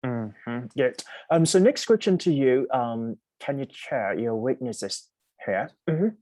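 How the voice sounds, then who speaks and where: Woman instructor running mock interviews, very deep mellow voice, dry close sound, on a video call. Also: no room sound. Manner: brisk, steady murmured acknowledgments. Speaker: English teacher running mock job interviews. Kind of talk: online class mock interview.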